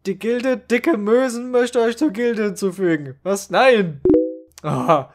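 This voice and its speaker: High-voiced man telling a comic story. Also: takes it slowly. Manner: colloquial, slangy. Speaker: storyteller and channel creator. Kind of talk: comic first-person storytelling about online gaming.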